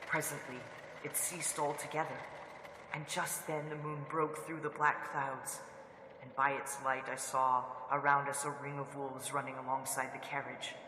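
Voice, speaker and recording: A man playing an uneasy traveller who recounts his ordeal in grave, deliberate, suspenseful radio horror narration. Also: mid-range voice, little pitch movement, very noisy, lightly acted. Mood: suspenseful dread. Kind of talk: dramatic first-person radio horror narration. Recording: echoey reverb, loud background ambience.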